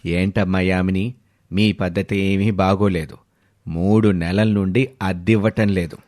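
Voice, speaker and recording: A man's voice: sits low, steady tone, unhurried, a little mellow, pitched below the performer's own voice. A man voicing a stern, threatening landlord in a story, scolding and demanding.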